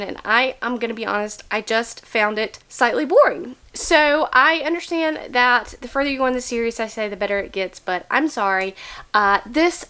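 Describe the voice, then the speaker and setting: A young woman talks through her month of reading in a candid, chatty way. Her voice sits a bit high, and a cold leaves her slightly nasal. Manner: chatty, candid, animated opener. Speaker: book vlogger. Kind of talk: casual chat about books.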